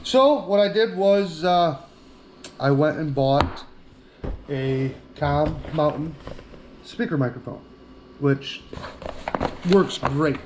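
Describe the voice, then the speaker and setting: A man's voice: a little high, slow, long pauses. Plainspoken man demonstrating gear, some background noise. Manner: plainspoken, repeats himself. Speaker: outdoor gear reviewer. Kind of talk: casual product demonstration.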